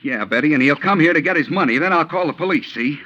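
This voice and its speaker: An actor playing a drunk, desperate killer with a menacing, scheming manner in a 1950s radio drama. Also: male voice, on the high side, expressive, fast, bright, forceful tone. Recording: dull old radio broadcast.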